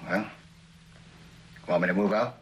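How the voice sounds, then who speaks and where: An actor in his forties with an American accent playing a guilt-ridden, bitterly self-mocking husband in a halting, hesitant 1960s television drama style. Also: low-pitched, steady tone, husky, dull audio. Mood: hesitant, ashamed. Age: 40s. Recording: noisy vintage television soundtrack.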